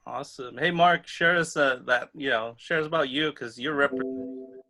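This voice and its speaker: A man's voice: medium pitch, moderate pace, sharp tone. A man hosting a podcast, interviewing a group of young guests in a casual, playful way. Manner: upbeat, playful teasing. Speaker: podcast host.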